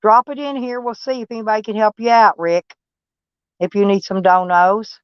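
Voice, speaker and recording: A woman's voice: mid-range voice, fairly even intonation, moderate pace, smooth, no room sound, highs cut off. Woman with a Texas twang. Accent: Texan. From Kentucky, USA. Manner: rambling, folksy, blunt and swearing. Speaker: livestream host. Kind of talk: casual live-stream chat with viewers.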